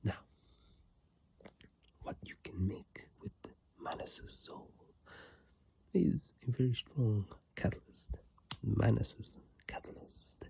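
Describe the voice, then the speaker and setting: Breathy whispering ASMR creator, slow and soft. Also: mid-range voice, huge pitch swings. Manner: informal, halting, unhurried. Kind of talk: whispered ASMR reading with casual asides. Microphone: iPhone microphone, close up.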